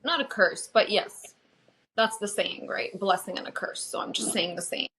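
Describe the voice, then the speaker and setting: A woman co-hosting a casual podcast from home over a video call, with a light American-tinged accent, chatty and quick to laugh. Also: medium pitch, pushed and bright, a little hiss or hum, poor audio. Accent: light American-tinged English. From Lebanon. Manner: chatty, fillers and restarts, laughs often. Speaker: podcast co-host. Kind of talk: casual chat.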